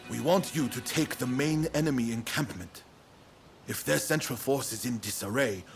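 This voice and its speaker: A man's voice: medium pitch, natural voice. A man playing an authoritative samurai strategist. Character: composed, righteous samurai military strategist. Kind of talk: dramatic video game cutscene acting.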